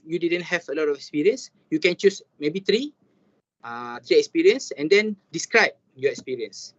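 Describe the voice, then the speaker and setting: A young Malaysian man with a Malaysian English accent, giving an informal online workshop talk in a halting way, with many fillers and Malay words mixed in. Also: mid-range voice, dry recording. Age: young adult. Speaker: workshop speaker. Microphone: video call. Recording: dull, dry lo-fi call audio.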